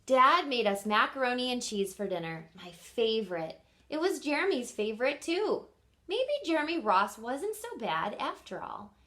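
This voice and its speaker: Animated, bright-voiced woman reading a storybook. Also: a little high. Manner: pauses to quiz listeners with simple questions. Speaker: teacher reading aloud to children. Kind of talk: expressive picture-book read-aloud.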